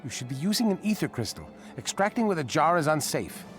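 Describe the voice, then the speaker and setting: An actor playing a wily, sardonic little gnome-like creature in a fantasy video game, dry and mocking. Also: male voice, a little high, very animated, fast. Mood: exasperated. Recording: faint music underneath.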